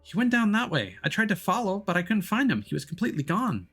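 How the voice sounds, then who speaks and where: Man voicing a high-pitched, earnest young boy. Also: big pitch swings, quick pace, fairly bright tone, faint music behind, exaggerated voice. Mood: worried, puzzled. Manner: urgent, insistent. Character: earnest, pleading young boy worried for his missing friend. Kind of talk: visual novel character voicing.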